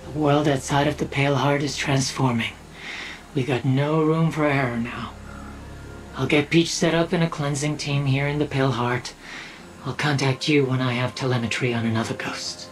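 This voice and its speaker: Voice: medium pitch, steady tone, moderate pace, soft background music, natural voice, low-quality recording. A performer playing a friendly, focused quest-giver in a video game, briefing an ally in an earnest, conversational way. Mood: businesslike.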